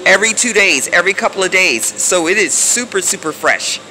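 A woman's voice: lowish voice, lively intonation, brisk, pushed and bright. A middle-aged American woman chatting casually and thinking aloud as she records a shopping vlog on a handheld phone. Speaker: vlogger. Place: supermarket aisles with humming coolers. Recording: noisy background hum, handheld phone.

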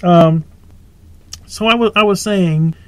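A man's voice: high-pitched, takes it slowly, a little mellow, smooth, dry recording. A man preaching informally on his own podcast, rambling and correcting himself as he goes. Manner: rambling, self-correcting, earnest. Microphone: home podcast microphone.

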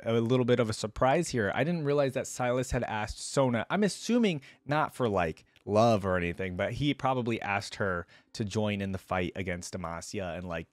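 A man's voice: medium pitch, no echo. A young man gives casual, rambling reaction commentary as a video host, talking informally into a desk microphone. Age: young adult. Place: indoor room at a desk. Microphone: close desktop microphone, dry.

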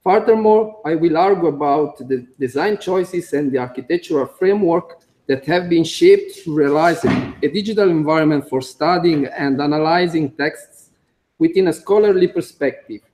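A man's voice: fairly high pitch, a little mellow, low-quality recording. Italian man reading a conference talk. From Italy. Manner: careful, halting, with frequent "uh" fillers and small slips. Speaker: conference speaker, computer engineer. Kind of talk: conference talk read from notes. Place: conference room.

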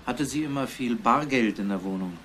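A calm, methodical police inspector in a crime radio play , acted by a man. His mid-pitched voice puts questions plainly and probingly, over faint background noise. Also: normal speed, natural voice. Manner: probing, matter-of-fact questioning. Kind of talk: German crime radio drama acting.

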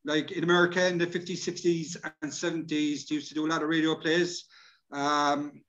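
Higher-voiced Irish man on a dull video call. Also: level tone, a little fast, fairly bright tone. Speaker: workshop presenter. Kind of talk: informal online presentation. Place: indoor room, on a video call.

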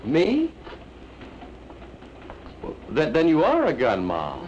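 A man's voice: a little high, huge pitch swings, slow pace, darkish tone, highs cut off, slight background noise, full character voice. An actor playing a flustered, fussy older man who stammers nervously while talking his way out of trouble, in a 1950s television comedy. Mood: bumbling.

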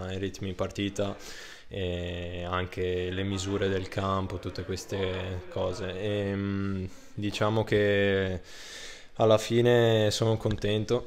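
Young male footballer, low monotone, hesitant post-match answers, handheld mic. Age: 20s. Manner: hesitant, many fillers and restarts. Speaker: football player. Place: indoor room under a ribbed ceiling. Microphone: handheld microphone held up by interviewer.

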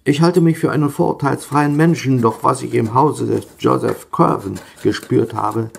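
An actor playing an earnest, troubled gentleman reading his diary in an audio drama, measured and formal, with a creaky voice. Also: mid-range voice, slight background noise. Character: earnest male gentleman diarist, troubled, open-minded.

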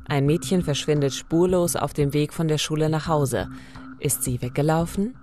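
A woman's voice: low-pitched, moderate pace, warm tone, faint music behind. A woman presenting a crime podcast as co-host, introducing and explaining.

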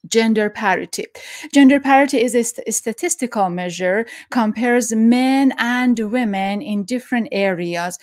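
A middle-aged woman hosting a video interview from a home study, speaking haltingly with many fillers and restarts. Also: on the high side, darkish tone, dry recording. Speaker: host. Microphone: headphones and desk mic.

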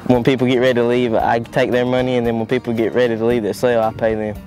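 Flat-toned young Southern man. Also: mid-range voice, fast talker. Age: teens to young adult. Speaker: family auction worker. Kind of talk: documentary interview. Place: outdoors by a road. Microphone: lapel. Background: faint guitar music.

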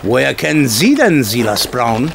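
A man's voice: medium pitch, very animated, normal speed, vocal fry, background noise. A man playing a blunt, self-assured colonel in a German audio drama. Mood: blunt, indignant. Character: blustery male colonel, horse-racing devotee.

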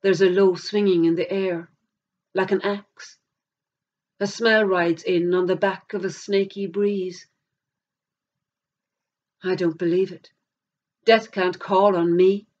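A middle-aged woman with an Irish accent, a poet reading verse aloud in a measured, deliberate way. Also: lowish voice, little pitch movement, darkish tone. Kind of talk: poetry reading. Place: plain indoor room against a bare wall. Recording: dull lo-fi camera sound.

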